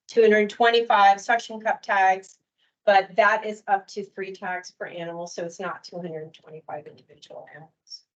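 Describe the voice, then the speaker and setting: A woman delivers a prepared workshop presentation in a measured, detail-heavy way, pausing now and then to correct herself. Her voice sits at a middling pitch, and the recording is a little dull and lo-fi. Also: fairly even intonation. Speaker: permitting official presenting at a workshop.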